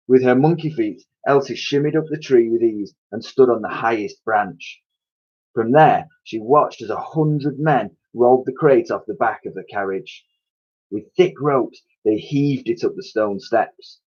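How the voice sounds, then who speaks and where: A man's voice: medium pitch, dull audio. A man reading a children's storybook aloud expressively, like a teacher addressing his pupils.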